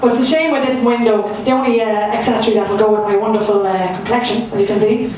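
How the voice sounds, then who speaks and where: A woman's voice: mid-range voice, fairly even intonation, fast, crowd noise. A young Irish woman performing her first stand-up set, chatty and self-mocking, with lots of fillers. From Ireland. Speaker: stand-up comedian. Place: comedy club stage. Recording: echoey room, from far off.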